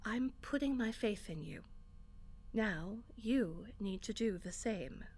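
A woman's voice: medium pitch, lively intonation, slow pace, a little mellow, slightly rough. A woman playing an exasperated, sardonic theater director in an audio drama, bossy but encouraging. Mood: firm, encouraging. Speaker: actor in an audio fiction podcast. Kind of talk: acted audio drama dialogue.

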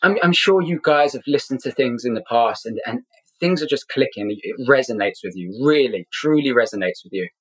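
A man's voice: medium pitch, animated, fairly quick, slightly rough, poor audio. A middle-aged man from Scotland who grew up in London, speaking with a London accent, tells a personal story earnestly as an interview guest, repeating words for emphasis. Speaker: podcast guest.

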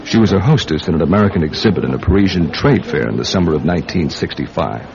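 An actor playing a wry, world-weary American intelligence agent in a radio drama, dry and deliberate as he tells and probes his case. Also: low voice, expressive, creaky, a crowd in the background. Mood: wry, brooding. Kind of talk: radio drama acting, first-person spy narration. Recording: dull radio recording with music. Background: music.

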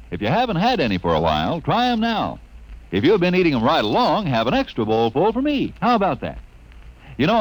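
A man's voice: on the high side, rough recording. A man reading an old-time radio advertisement as announcer, in a folksy, chatty, upbeat way.

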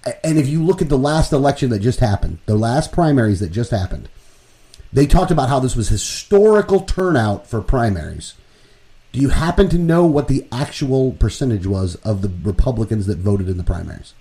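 A man co-hosting a talk radio show, laying out his political opinions in a casual, lively way. His voice is warm and mellow and swings widely in pitch as he explains things and works through figures. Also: fairly quick. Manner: animated, opinionated, explains with numbers.

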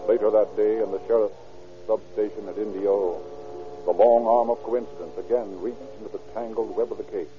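A man's voice: on the low side, fairly even intonation, brisk. A man giving formal, portentous scripted narration for an old radio drama. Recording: muffled old radio broadcast. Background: loud music.

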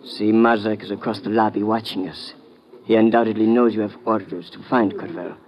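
A man's voice: lowish voice, fairly even intonation, lightly acted. An actor playing a worried, formal male informant in 1950s radio drama style. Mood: urgent, conspiring. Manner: formal, urgent, deliberate. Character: earnest, worried male East European informant. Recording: dull old radio recording.

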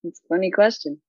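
A woman's voice: mid-range voice, slightly rough, dry recording, muffled recording. A young woman with an Australian accent, a singer chatting in an interview, bubbly and rambling with lots of giggles.

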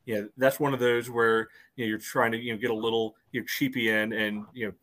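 A podcast co-host arguing a soccer call, with "you know" between nearly every phrase. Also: male voice, mid-range voice, little pitch movement, fast talker, fairly bright tone, a little hiss or hum. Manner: loose, fills with "you know" often. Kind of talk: casual sports debate.

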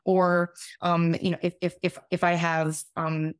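Female expert guest, late 30s, raspy lower register, halting conversational explainer, close dry sound. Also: flat delivery, dry recording. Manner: halting, self-interrupting, many repeated words. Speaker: podcast guest, medical doctor.

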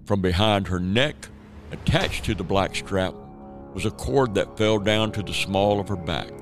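Guy narrating a spooky audio drama, low voice, faint music under it. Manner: measured, storytelling. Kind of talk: scripted narration.

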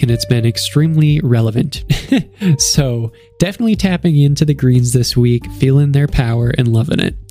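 A man's voice: mid-range voice, darkish tone. A young man with a cold and a stuffy, nasal voice hosts a solo podcast in a chatty, rambling, upbeat way. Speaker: podcast host. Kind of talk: casual solo podcast talk.

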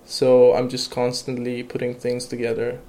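Gravelly-voiced Iranian-born man, halting. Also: mid-range voice, completely flat delivery, mellow. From Iran. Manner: halting, with many fillers and restarts. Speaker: guest, electronic music producer. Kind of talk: casual interview conversation.

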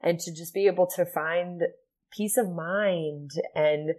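A woman from Ohio with a low, soft-spoken voice talks as a podcast guest. She tells her story earnestly and reflectively. She often fills her speech with "like" and "you know". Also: fairly even intonation, takes it slowly. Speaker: podcast guest, yoga and sound healing teacher.

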